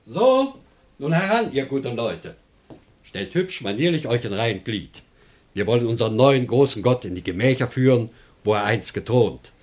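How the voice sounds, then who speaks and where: Casting: a man as a self-important master for radio comedy, very lively intonation, declamatory orders. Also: medium pitch, normal speed, lightly acted. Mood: proud, festive. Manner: declamatory, commanding. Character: pompous, moralizing male master of household. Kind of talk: German radio play acting of classical comedy.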